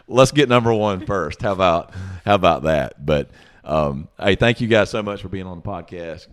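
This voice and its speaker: A man in his forties hosting a podcast, talking casually and enthusiastically, often repeating words as he goes. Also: low-pitched. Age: 40s. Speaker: podcast host.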